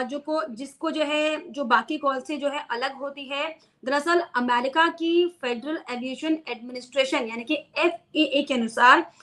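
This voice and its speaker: A woman's voice: high voice, bright, forceful tone. A woman news presenter giving an unscripted explainer to viewers, repeating key phrases for emphasis.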